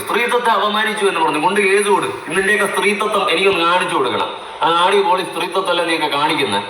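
Guy with ranting sarcastically, talks fast, roomy far-off mic. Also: high voice, bright, forceful tone. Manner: scolding, sarcastic. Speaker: reaction video commentator. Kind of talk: informal reaction commentary. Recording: roomy, distant mic, faint noise.

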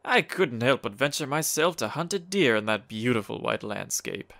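A suave gentleman hunter, acted by a man, with a creaky, gravelly edge and swooping intonation. He is genteel and theatrical, courteous with a calm menace underneath, in the manner of a dramatic comic dub. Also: mid-range voice, normal speed. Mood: fond, wry. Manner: genteel, theatrical, old-fashioned endearments.

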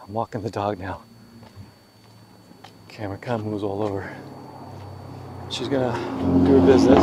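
A man's voice: medium pitch, creaky. A man vlogging a road trip, chatting casually as he drives a mountain road and then walks his dog outdoors. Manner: relaxed, rambling commentary with fillers. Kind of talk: casual narration while driving and walking. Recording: noisy car and outdoor recording. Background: car and road noise.

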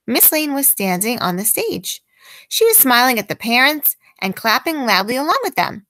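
A woman reads a children's picture book aloud with expressive, deliberate emphasis. Her voice is bright and fairly high, moving animatedly up and down, in a dry close recording. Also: no echo. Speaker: teacher reading aloud.

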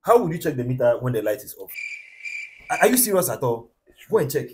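A man's voice: medium pitch, very animated, fast talker, noisy background, natural voice. A man playing a pompous, irritable householder in a comedy skit, scolding and repeating himself. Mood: irritated.

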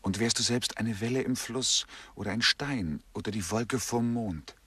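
A man's voice: mid-range voice, moderate pace, pushed and bright, slightly rough, dry recording. An actor in a radio play, playing an earnest, devoted young man in love.

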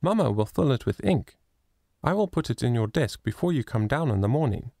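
A man reading a storybook, voicing an eager, chattering little girl who pleads and bubbles with excitement. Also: medium pitch, brisk, creaky, no echo, clean studio sound, pitched above the performer's own voice. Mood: eager pleading.

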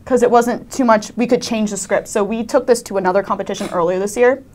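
A young woman, a high school student actor, talking casually as a panel interview guest. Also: fairly even intonation, fast, a little mellow, slight background noise. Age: teens to young adult, high school student. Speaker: student cast member being interviewed.

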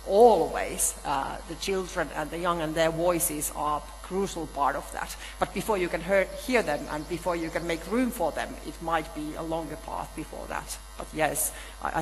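A middle-aged woman on a panel, answering questions thoughtfully with halting restarts, on a conference hall stage. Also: deep voice. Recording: reverberant hall with faint hum.

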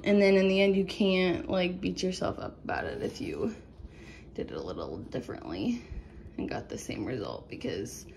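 A woman's voice: fairly low pitch, normal speed, noisy background. A woman, casually narrating a DIY project in her home kitchen on a handheld phone, rambling with lots of ums and restarts. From Nebraska, USA. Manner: chatty, rambling, many "um"s and restarts. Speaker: farm vlogger. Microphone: handheld phone camera.

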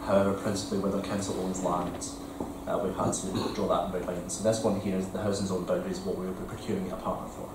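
A man answering councillors' questions at a meeting in a measured, explanatory way with frequent ums. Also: low voice, level tone, noisy background. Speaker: council regeneration officer. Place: large council committee room. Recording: echoey committee room from far off.

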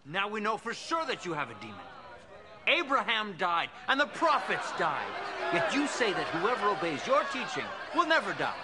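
An actor playing a scornful heckler in a film drama, loud, mocking and incredulous. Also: male voice, high voice, huge pitch swings, moderate pace. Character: scornful male heckler challenging a preacher. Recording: very noisy outdoor scene.

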